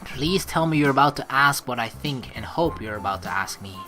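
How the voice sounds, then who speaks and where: Lively, lower-voiced woman doing game commentary. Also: fairly quick, faint music behind. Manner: expressive, sweary, rambling. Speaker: let's play host. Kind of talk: casual game commentary with read-aloud narration.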